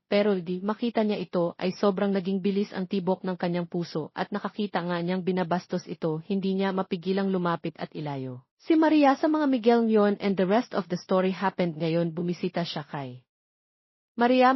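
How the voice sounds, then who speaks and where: A woman's voice: medium pitch, no room sound. A woman with a Filipino accent reading a scripted romance story as an audiobook narrator. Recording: dull lo-fi audio.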